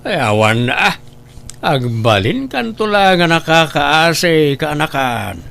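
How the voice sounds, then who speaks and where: A man's voice: a little high, sing-song, slow pace, creaky, fairly bright tone, heavily acted. A man with a Filipino accent playing a stern, nagging middle-aged father and uncle in a comedy drama, scolding and questioning. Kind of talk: amateur comedy drama acting.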